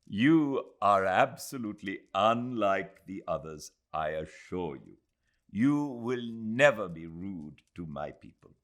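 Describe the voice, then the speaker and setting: A proud, courteous Indian doctor , acted by a male narrator, speaks formally and with emphasis. It is an animated storybook character voice. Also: low-pitched, takes it slowly, heavily acted. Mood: formal, assuring. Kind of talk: audiobook character voice.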